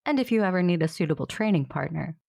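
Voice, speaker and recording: A woman playing a steadfast, quietly confident warrior in an audio drama, measured and earnest. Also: lowish voice, animated, creaky. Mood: calm, steadfast.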